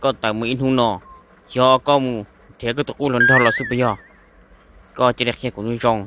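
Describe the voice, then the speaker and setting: A man's voice: mid-range voice, little pitch movement, brisk, pushed and bright, slight background noise, close to an everyday voice. Man acting a young cartoon sweetheart, conversational. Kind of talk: amateur animated cartoon dialogue.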